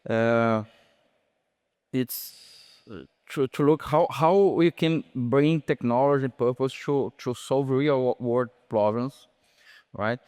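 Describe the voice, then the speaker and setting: A man from Brazil with a Brazilian Portuguese accent, a bank executive answering on a conference panel in his second language, pausing often and searching for words. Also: mid-range voice, a little mellow, clean tone, no room sound. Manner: halting, searching for English words, many fillers and restarts.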